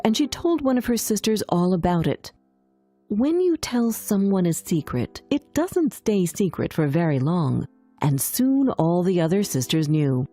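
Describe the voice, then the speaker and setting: A woman's voice: medium pitch, mellow. A woman narrator reading a scripted children's fairy tale in a storytelling style.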